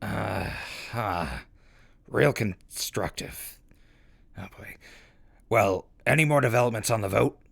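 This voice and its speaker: A man's voice: on the low side, slow pace, vocal fry, long pauses, fairly bright tone, full character voice. A man playing a wry, easygoing radio host presenting a show in a post-apocalyptic audio drama. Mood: dryly unimpressed.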